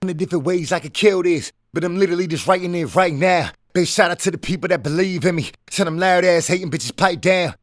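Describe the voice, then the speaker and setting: A man raps in a brash, boastful flow, quick and fairly high for a man. The vocal is dry and close, heard as a track being played back. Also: no echo. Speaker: rapper on his own track. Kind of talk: rap vocal from a song being mixed.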